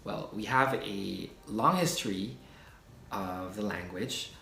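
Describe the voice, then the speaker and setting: Slow-talking Filipino man in an echoey room. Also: fairly low pitch, vocal fry, soft background music. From Philippines. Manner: relaxed, many fillers and restarts. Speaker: video host. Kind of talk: casual explainer talk.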